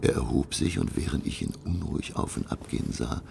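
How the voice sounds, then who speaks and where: An actor playing a curious, awestruck male scholar who narrates his undersea voyage in a hushed, deliberate, gravelly voice for a radio play. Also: rumbling low, noisy background, exaggerated voice. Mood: hushed wonder.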